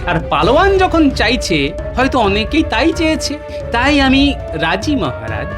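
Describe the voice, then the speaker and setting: A man's voice: extremely high pitch, huge pitch swings, normal speed, over music, full character voice. A man voicing a cheerfully confident, clever court jester in a cartoon. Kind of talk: Bengali cartoon dialogue.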